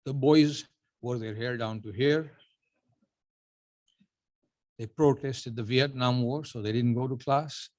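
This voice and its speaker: Man in his 70s from uganda giving an award speech, measured storytelling, dry self-deprecating jokes. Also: mid-range voice, fairly even intonation, darkish tone. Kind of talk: prepared acceptance speech.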